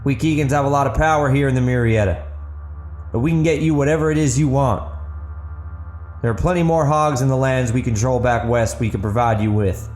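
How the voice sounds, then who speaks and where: A man's voice: mid-range voice, fairly even intonation, brisk, a little mellow, reverberant, faint music behind, natural voice. A man voicing a fierce, protective husband who leads an armed party in an audiobook drama. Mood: placating.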